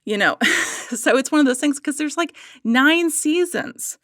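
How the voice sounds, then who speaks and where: A woman chatting casually as a podcast guest, gushing with animated, emphatic enthusiasm. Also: sits high, measured pace, dry recording, studio quality.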